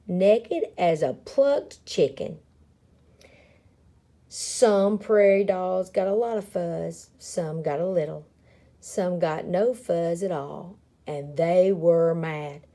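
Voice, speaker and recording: A woman reading a children's picture book aloud in a dramatic, expressive way, with deliberate and playful emphasis. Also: fairly low pitch. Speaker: story reader.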